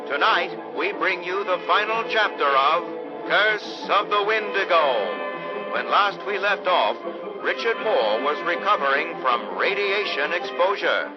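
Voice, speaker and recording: Old timey american radio mystery narrator, highish voice, dramatic, music under it. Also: male voice, very animated, highs cut off. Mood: suspenseful. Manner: dramatic, portentous, deliberate phrasing. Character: solemn, theatrical radio anthology host.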